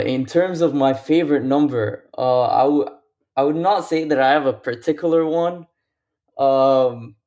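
A man from Lebanon joins a casual football podcast over a call. He talks in a hesitant way, with frequent ums and restarted phrases, at an ordinary middle pitch. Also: a little mellow. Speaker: guest. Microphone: remote call.

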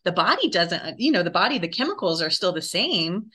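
Bright-voiced woman, a little low, interview guest. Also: big pitch swings, brisk, husky. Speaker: interview guest, theatre director. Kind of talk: conversational interview answers.

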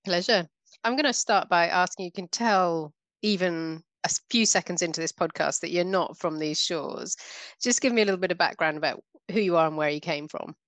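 A woman's voice: on the low side, brisk, a bit bright, no room sound. A woman hosting a podcast interview, asking friendly, brief questions. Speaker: podcast host. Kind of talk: interview host.

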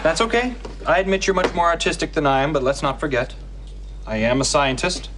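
A man's voice: a little high, brisk, heavy background noise, lightly acted. A man playing a protective, nerdy, dry-witted dad in a sitcom. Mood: wry.

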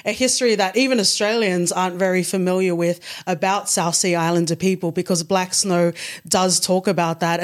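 Upbeat woman interviewer from Tonga. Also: fairly low pitch. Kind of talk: casual interview chat.

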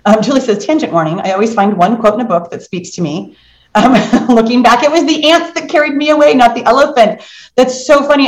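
A middle-aged woman hosting a book club as a coach, chatting casually in an animated, encouraging and affirming way. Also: mid-range voice. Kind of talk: casual chat in a live online book club. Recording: roomy, echoing sound.

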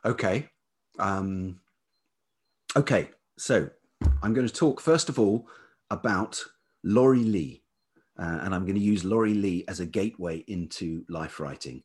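A man with a velvety voice talking reflectively as an interview guest, thoughtful and halting, often pausing mid-phrase. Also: sits low, a little mellow, breathy edge. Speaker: podcast guest, writer and life writing teacher.

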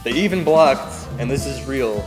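A man's voice: medium pitch, animated, normal speed, lightly acted. A wry, self-mocking stand-up comedian played by a man in an audio drama. His mid-range voice is conversational, ringing with long reverb over faint music. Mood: wry, amused. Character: wry, self-deprecating Indian-American male stand-up comedian.

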